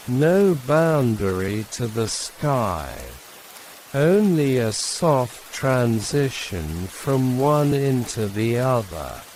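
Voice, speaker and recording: A man narrating a sleep story in a calm, hushed, soothing manner, leaving long pauses between short phrases. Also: medium pitch, poor audio. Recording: steady rain and hiss behind voice. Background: rain.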